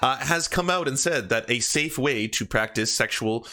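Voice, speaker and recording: A Canadian man hosts a comedy show with a bright, forward voice. He talks loosely and mockingly, riffing sarcastically on a story. His pitch sits right in the middle for a man. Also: normal speed. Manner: mocking, puts on silly voices when quoting. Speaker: comedy podcast host. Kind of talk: comedic political commentary, reading aloud.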